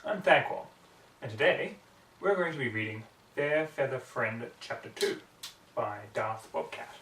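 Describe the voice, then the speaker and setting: A man's voice: mid-range voice, expressive, unhurried, fairly bright tone, distant mic, a little hiss or hum. Theatrical male narrator in an echoey room. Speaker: amateur story reader. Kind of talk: hammy fan fiction narration.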